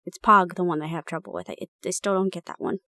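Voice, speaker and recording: A young woman playing a protective roommate in an improvised audio roleplay, brisk and bossy, then gently soothing. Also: lowish voice, very animated, no echo, clean studio sound. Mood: chiding chat. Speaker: caring roommate.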